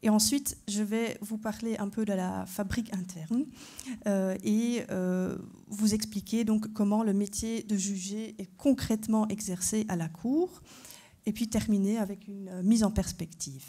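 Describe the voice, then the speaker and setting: A woman from Belgium, a native German speaker, gives a formal conference lecture as a constitutional court judge. Her voice is mellow and warm, and she sits at an ordinary pitch for a woman. She sets out her points in an orderly way and often asks a question before answering it. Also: fairly even intonation, unhurried. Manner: structured explanatory delivery, poses rhetorical questions.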